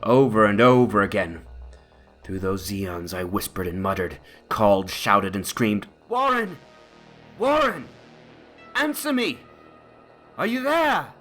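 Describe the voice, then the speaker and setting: A man's voice: fairly low pitch, animated, takes it slowly, pauses often, fairly bright tone, full character voice. A man playing a shaken, horror-stricken witness, narrating gothic testimony in a grave, theatrical way. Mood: haunted anguish, then desperate calling. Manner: theatrical, grave, swelling into anguished cries. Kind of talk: dramatic horror reading. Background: music.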